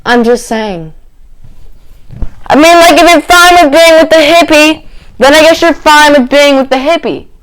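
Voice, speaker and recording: A woman's voice: high-pitched, moderate pace, a bit bright, low-quality recording. A woman hosting a reaction video, commenting casually in a playful, blunt way.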